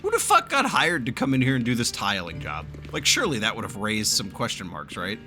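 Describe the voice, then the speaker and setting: A man's voice: on the low side, huge pitch swings, a little fast, music in the background. A man giving casual, sweary, joking reaction commentary while playing a game. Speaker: game streamer reacting to cutscenes.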